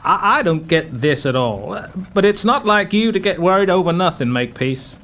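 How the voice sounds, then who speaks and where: A man's voice: high-pitched, sing-song, normal speed, fairly bright tone, full character voice. A man playing a brusque, impatient, dismissive President of the United States in an audio drama. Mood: grudging. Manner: dismissive, commanding. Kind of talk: fan-made audio drama acting.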